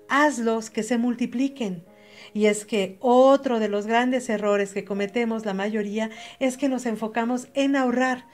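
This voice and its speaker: A woman's voice: on the high side, darkish tone. A young adult Mexican woman with a Mexican Spanish accent giving a direct, earnest motivational voice-over, speaking straight to the listener. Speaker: channel host.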